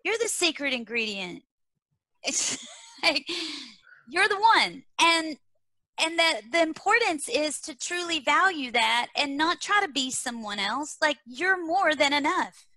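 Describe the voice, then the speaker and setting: High bright Texas woman, motivational. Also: sing-song, measured pace, no room sound. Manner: earnest, motivational, repeats key phrases. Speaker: guest speaker on leadership. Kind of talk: conversational interview.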